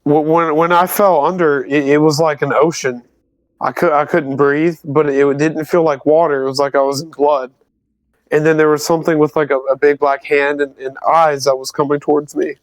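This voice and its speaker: Slightly high-voiced male player. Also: flat delivery, dry recording. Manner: eager, halting questions. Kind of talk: casual tabletop game play.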